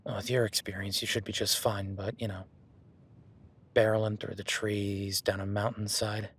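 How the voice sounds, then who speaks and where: Husky, low-voiced cowboy rancher, played by a man. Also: little pitch movement, moderate pace, a bit bright. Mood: cautious. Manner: folksy, plainspoken, talks to the listener. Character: easygoing seasoned male cowboy rancher. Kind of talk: audio roleplay.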